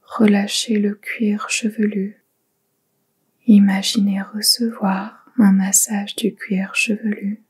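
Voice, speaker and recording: A woman guides a relaxation meditation in a soft, whispery voice that is full of breath. She speaks slowly and gently, leaving pauses between soothing instructions. Her intonation stays very flat and steady throughout. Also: mid-range voice, husky. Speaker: yoga nidra meditation guide.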